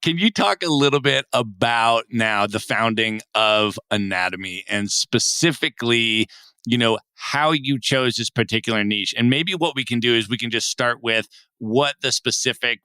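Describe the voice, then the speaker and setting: A man's voice: medium pitch, moderate pace, bright, forceful tone, smooth, no echo. A man hosting a podcast interview, enthusiastic and rephrasing his questions at length. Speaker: podcast host.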